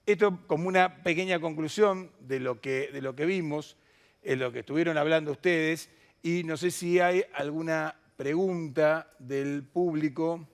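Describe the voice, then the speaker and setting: A man's voice: on the high side, a little slow. A man, moderating a conference panel and speaking off the cuff. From Latin America. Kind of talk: unscripted conference panel discussion.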